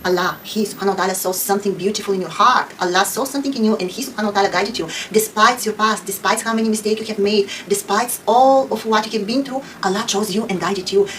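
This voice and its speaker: Fast-talking Bulgarian woman, earnest testimony. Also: mid-range voice, a bit bright, distant mic, a little hiss or hum, rough recording. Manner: earnest, devout, frequent Arabic religious phrases. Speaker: convert sharing her story. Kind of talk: answering viewer questions, testimony.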